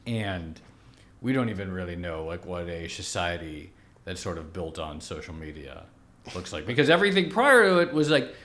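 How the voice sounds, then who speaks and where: A man's voice: sits low, sing-song, normal speed, fairly bright tone, a little hiss or hum. A man in his thirties, a podcast guest, explaining his ideas in long, reasoned stretches. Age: 30s. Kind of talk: thoughtful interview conversation.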